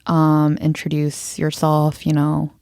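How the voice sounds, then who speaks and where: Teasing, lower-voiced woman podcast host. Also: completely flat delivery, slow pace, a little mellow, clear, resonant tone, no echo, studio quality. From Hong Kong. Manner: teasing, laughs often. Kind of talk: casual podcast chat.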